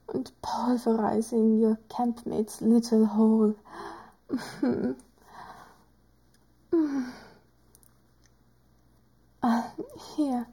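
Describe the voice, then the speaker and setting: A woman voicing a teasing, doting woman doctor in an adult audio roleplay, speaking in a slow, whispery, drawn-out way. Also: on the high side, vocal fry, dark tone, breathy edge, exaggerated voice.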